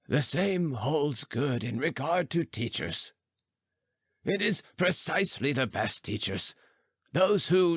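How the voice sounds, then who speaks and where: A man narrating an audiobook, reading formal philosophical prose in a measured, oratorical way. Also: a little high, fairly bright tone, slightly rough, no echo, studio quality.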